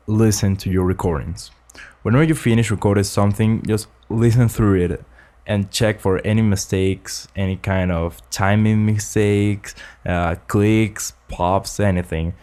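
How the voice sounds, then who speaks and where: Young man, earnest home-studio tutorial, low voice, close condenser mic. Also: darkish tone. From Ecuador. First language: Spanish. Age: young adult. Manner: earnest, explanatory, slightly halting English. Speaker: student making a tutorial. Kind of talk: casual spoken tutorial. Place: small home studio room, indoors. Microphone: large-diaphragm condenser with pop filter, close.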